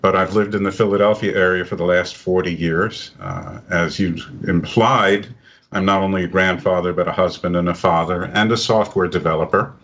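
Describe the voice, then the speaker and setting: Older man, from the US, low voice, dry jokes, podcast guest. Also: fairly even intonation, normal speed, fairly bright tone, lo-fi sound. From United States. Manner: dry, joking. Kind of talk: casual chat.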